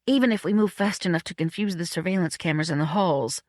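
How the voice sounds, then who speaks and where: A woman's voice: lowish voice, fast talker, darkish tone, no echo, close to an everyday voice. Woman as eager, polite male sidekick, dry sound. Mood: persistent. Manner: polite, persistent.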